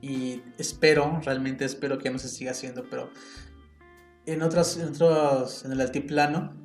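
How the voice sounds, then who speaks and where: A man's voice: mid-range voice, fairly even intonation, moderate pace. A man with a Mexican accent hosting a reflective solo podcast, talking in an earnest, opinionated way and thinking aloud with small restarts. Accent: Mexican Spanish. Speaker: podcast host. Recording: roomy sound with faint guitar music behind.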